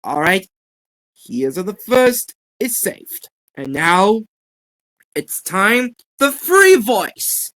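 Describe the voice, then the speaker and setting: A woman giving a casual, halting introduction and sign-off as an amateur voice actor and host of a voice reel. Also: medium pitch, sing-song, fairly bright tone.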